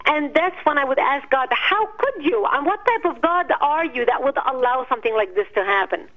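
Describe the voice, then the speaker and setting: A middle-aged woman speaks in a high, carrying voice, earnest and emphatic like a preacher. She talks as a guest sharing her own story. The audio is dull and lo-fi, like a broadcast dub. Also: expressive, moderate pace. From Middle East. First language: Arabic. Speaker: guest, activist founder. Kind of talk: impassioned personal testimony.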